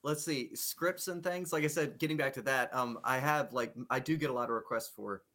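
A man of middle pitch with a bright, forceful tone, co-hosting a casual chat. He jokes and chuckles in a low-key manner. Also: fairly quick. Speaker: podcast co-host.

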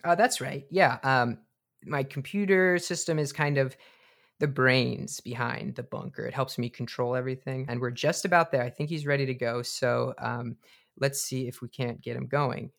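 A man playing an excitable, foul-mouthed bunker leader and tinkerer, co-hosting a comedic radio drama in a casual, hesitant way. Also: mid-range voice, moderate pace, gravelly. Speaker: co-host.

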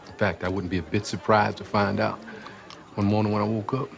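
A man's voice: on the low side, flat delivery, brisk, creaky. An actor playing a concerned man in a film drama, admonishing a friend with grave warnings.

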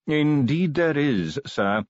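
A man voicing a tactful, unflappable butler in an audiobook, formal, measured and cautiously polite. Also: on the high side, expressive, dry recording, dull audio, voice pushed up. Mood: formal, apologetic. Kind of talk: audiobook character voice.

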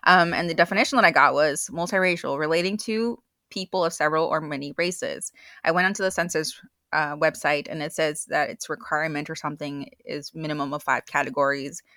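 A woman's voice: sits low, fairly quick, pushed and bright, no echo, studio quality. A woman hosting a podcast, asking questions in a loose, chatty way that wanders off on tangents. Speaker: podcast host. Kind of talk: casual interview chat.

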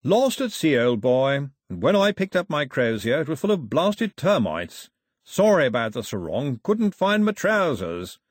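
Bumbling, jovial posh bishop, performed by a man, husky and very animated. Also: medium pitch, measured pace, no room sound, clean studio sound, pitched above the performer's own voice. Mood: hearty bluster. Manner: hearty, bumbling. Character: plump, blustering upper-class male bishop, jovial. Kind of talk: audiobook character voice.

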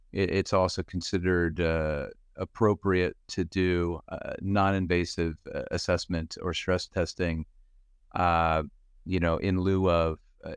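A man in his fifties from Western Pennsylvania, a cardiologist explaining things in a measured way with many fillers and restarts. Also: sits low, smooth, no room sound, studio quality. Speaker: cardiologist guest expert. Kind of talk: podcast medical discussion.

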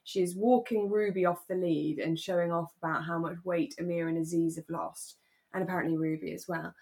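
A woman's voice: on the low side, fairly even intonation, fairly quick, creaky, a little mellow. Woman podcast host, kinda giggly and gushing, just chatting away casually. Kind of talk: casual chat about a radio drama.

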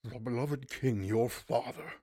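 A man's voice: medium pitch, a little slow, mellow, slightly rough, no room sound, clean studio sound. A man doing a sobbing old chancellor. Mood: mournful. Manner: moaning, choked with sobs. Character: old weeping male chancellor, timid and mournful.

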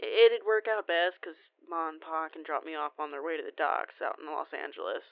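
A woman's voice: sits low, a little fast, no echo. A woman playing a cheeky, rambling young female werewolf cousin in an audio roleplay phone call, sheepish, folksy and a bit foul-mouthed. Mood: relieved, chatty. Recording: tinny band-limited phone line, very dry.

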